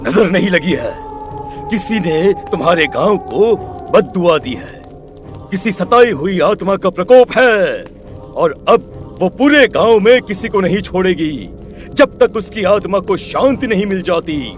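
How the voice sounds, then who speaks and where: A man plays a grave tantric holy man in a horror audio tale. He speaks deliberately and ominously, with faint music behind. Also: high-pitched, expressive. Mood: grave warning. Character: grave, ominous male village tantric mystic.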